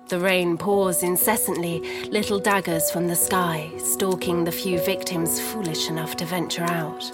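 Slow-spoken, sardonic noir wife, played by an actress. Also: female voice, on the low side, natural voice. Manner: sardonic, scornful, measured. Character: grieving, sharp-tongued noir wife of a missing man. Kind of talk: scripted gothic noir audio drama acting.

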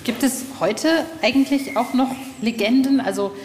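A woman's voice: on the high side, normal speed, darkish tone. A woman chatting casually and informally as a podcast interviewer, musing aloud and asking loosely phrased questions. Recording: echoey hall, faint ambient noise.

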